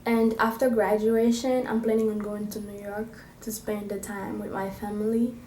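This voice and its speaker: A young woman from Haiti introducing herself on video in a steady, plain way. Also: mid-range voice, normal speed, roomy sound, slight background noise. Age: young adult. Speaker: graduating senior.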